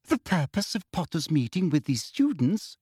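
Male reader playing a smug, sugary female official, raised pitch, soft and prim, audiobook. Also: sing-song, moderate pace, slightly rough, no echo, clean studio sound. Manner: sickly sweet, smug, impatient.

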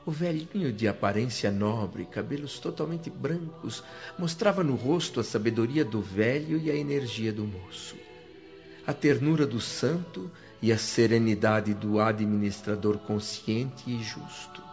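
A man's voice: medium pitch, measured pace, a little mellow, lightly acted. A man with a Brazilian accent playing a reverent newcomer who recounts his awe in solemn radio drama narration. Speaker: narrator and lead actor. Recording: dull lo-fi radio drama, music behind. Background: music.

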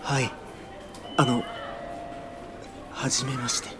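An actor playing an awkward, gentle young man in a radio drama, halting and reserved, with quiet resolve. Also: mid-range voice, level tone, natural voice. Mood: shy, awkward. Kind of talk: Japanese radio drama acting. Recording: music behind the voice.

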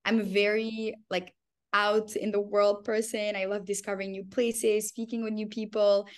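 Young woman guest on a podcast, bubbly and gushing, medium voice, talks about herself with lots of excitement. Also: mid-range voice, moderate pace. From born in France, raised in Canada. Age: 20s. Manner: enthusiastic, gushing, laughs often. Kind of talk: casual podcast interview.

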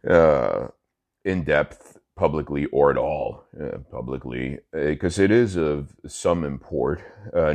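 A man hosting his own daily podcast, talking casually. He rambles with many fillers and self-corrections, and he sounds stuffy from allergies. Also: a bass voice. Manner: relaxed, smoking while talking. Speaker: podcast host. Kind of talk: casual solo podcast talk.

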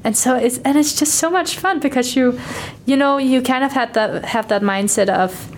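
A woman's voice: high-pitched, moderate pace, a little hiss or hum. A young woman from Denmark with a Danish accent, a native Danish speaker chatting in an interview about her exchange studies in an upbeat, talkative way. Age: young adult. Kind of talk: casual interview chat.

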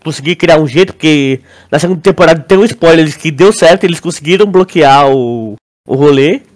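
Male podcast co-host, young, Brazilian accent, high register, informal and slangy delivery. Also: brisk. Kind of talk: casual chat.

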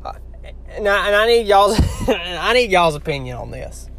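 A man's voice: high voice, very animated, moderate pace, a bit bright, soft background music. Chatty young man, heavy Louisiana drawl. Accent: heavy Southern US, Louisiana. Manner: chatty, rambling, talks straight to viewers. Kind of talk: casual talk to camera.

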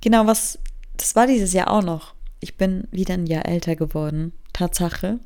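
A young German woman in her twenties talks through a casual solo podcast episode. Her voice sits low and carries a gravelly fry. She rambles from thought to thought, teases herself, and sprinkles English phrases into her talk. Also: moderate pace, darkish tone. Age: 20s. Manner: rambling, self-mocking, drifts off topic. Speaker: podcast host.

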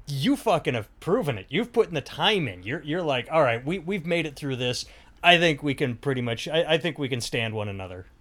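A man's voice: on the high side, animated, a little fast, a bit bright. A middle-aged man hosting a podcast, rambling casually, swearing freely and restarting his sentences. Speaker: podcast host. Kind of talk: casual chat.

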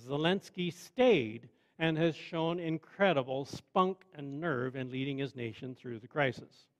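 A man preaching a sermon in a measured, conversational way with wry asides. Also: on the high side. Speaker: pastor.

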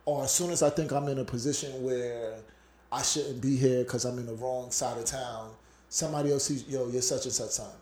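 Reflective Brooklyn man, mellow echoey voice. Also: mid-range voice, steady tone, fairly quick. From Brooklyn, New York. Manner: reflective, earnest storytelling. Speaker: podcast co-host. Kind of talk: casual podcast chat.

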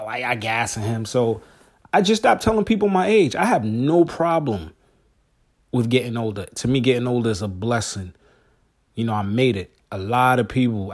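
Man, forties, podcast host, casual slangy monologue, mid pitch. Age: 40s. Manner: laid-back, slangy, talks straight to listeners.

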